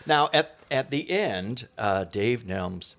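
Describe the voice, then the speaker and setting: A man's voice: mid-range voice, expressive, slow, a bit bright, dry recording. A man, a stage actor, chatting casually and anecdotally in an interview.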